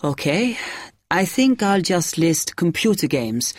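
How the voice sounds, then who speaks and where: New international student, low voice, polite n a bit unsure, asks questions, dry recording. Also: female voice, sing-song, slow pace, dry recording, full character voice. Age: young adult. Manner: hesitant, questioning. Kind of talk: acted everyday dialogue.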